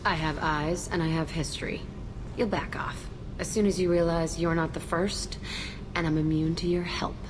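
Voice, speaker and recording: A defiant young woman with a prison past, acted by a woman for a game. Her voice is lowish, curt and sardonic, with loud ambient noise behind it. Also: steady tone, normal speed, natural voice. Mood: guarded, defiant. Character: tough, guarded young female ex-convict, cynical, defiant. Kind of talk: video game character acting.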